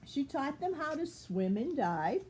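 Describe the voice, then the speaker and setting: Animated older woman reading a picture book. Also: high voice, unhurried, slight background noise. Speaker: grandmother reading aloud. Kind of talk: children's picture-book storytelling.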